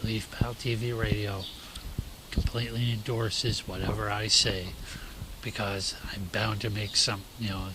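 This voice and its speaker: Low voice dude doing a goofy loud intro, rambling, swears, noisy background. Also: male voice, little pitch movement, a little slow. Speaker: host. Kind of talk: loose, jokey show intro. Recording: noisy recording.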